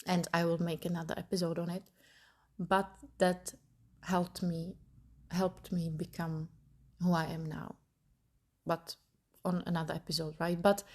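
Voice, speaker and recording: A woman's voice: fairly low pitch, a little mellow. A woman in her thirties from Italy, hosting her own podcast and thinking aloud as she searches for her words. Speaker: podcast host. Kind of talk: personal podcast monologue.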